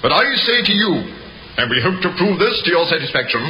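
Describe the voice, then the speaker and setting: An actor playing a forceful, accusing prosecutor addressing a jury with declamatory 1950s radio drama oratory. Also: male voice, a little high, big pitch swings, fairly quick, heavily acted. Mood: accusing, emphatic. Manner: declamatory, emphatic. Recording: echoey, noisy 1950s radio recording.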